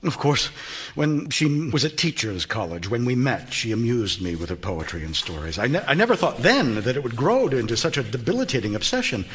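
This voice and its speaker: An actor playing a stern, exasperated Victorian physician husband in a radio drama, formal and earnest. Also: male voice, medium pitch, fairly bright tone, slight background noise. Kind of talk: theatrical radio drama acting.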